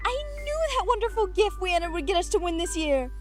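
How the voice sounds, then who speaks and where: Woman as very high-voiced excitable mother pony. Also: quick pace, faint music behind. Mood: overjoyed. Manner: exclamatory, emphatic. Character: excitable, scolding mother pony, Twilight Velvet. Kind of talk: cartoon-like dramatic reading.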